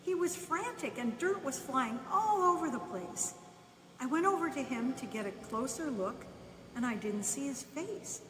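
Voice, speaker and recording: A woman reads a children's story at a slow pace, in short, separated phrases. Her voice is fairly high and comes from a distance in a reverberant room. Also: lively intonation, a bit bright. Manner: unhurried, with pauses between short phrases. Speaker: volunteer storyteller reading a children's book. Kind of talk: bedtime story read aloud, first-person narration. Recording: echoey reverberant room, distant mic, faint noise.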